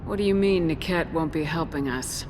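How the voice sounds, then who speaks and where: Woman acting as steady commander, reverberant. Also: sits low, normal speed, noisy background, lightly acted. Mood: firm, probing. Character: steady, direct female military commander. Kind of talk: video game voice acting. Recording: long reverb, music behind.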